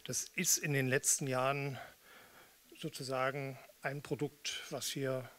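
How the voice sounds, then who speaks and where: A man in his sixties from eastern Germany talks from a stage between performances. He tells things casually and wryly, in halting, drawn-out explanations, speaking closely into a microphone. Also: medium pitch, little pitch movement, fairly bright tone. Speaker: spoken-word performer and musician introducing pieces. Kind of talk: casual, storytelling stage introduction. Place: small theatre or cinema hall with red seats. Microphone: studio microphone on stand with pop filter, close.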